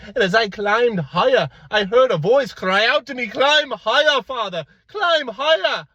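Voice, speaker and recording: An old priest played by a male storyteller declaims with grand fervour. His husky voice swoops and stretches the words out, as in a storybook tale. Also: very high voice, expressive, pushed and bright, no echo, highs cut off, voice pushed up, heavily acted. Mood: rapturous, building. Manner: declamatory, drawn-out, fervent.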